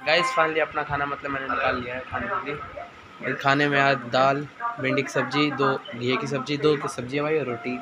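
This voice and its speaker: A hoarse teenage boy with a sore throat, chatting casually to his viewers in a home vlog filmed on a phone held at arm's length. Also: mid-range voice, little pitch movement, a little fast, very noisy. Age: teens. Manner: chatty, filler-heavy, addresses viewers as "bhai" and "guys". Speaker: vlogger. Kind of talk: casual day-in-the-life vlog. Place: small home rooms indoors. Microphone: phone camera held at arm's length.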